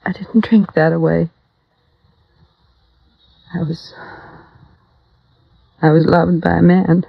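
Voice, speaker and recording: A woman's voice: on the low side, flat delivery, slow pace, vocal fry, pauses often, slightly rough, no echo, heavily acted. An actress playing a guarded, world-weary widow, down on her luck and defiant, in a 1950s radio noir drama. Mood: tearful, tender pride. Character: bitter, tough, down-and-out young widow. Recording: dull, dry vintage radio recording.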